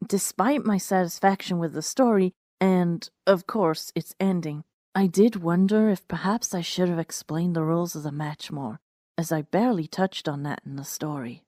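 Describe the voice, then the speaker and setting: A woman's voice: on the low side, normal speed, dark tone, no echo, clean studio sound. A woman narrating a scripted first-person story as the host of a reading series.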